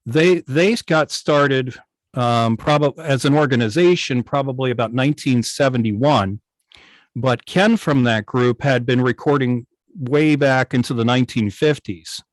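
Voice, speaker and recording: An older man with an American accent telling stories informally on a video call, halting and often pausing mid-thought. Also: mid-range voice, dry recording, poor audio. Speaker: guest, old-time radio station operator. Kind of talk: informal storytelling in an online club meeting.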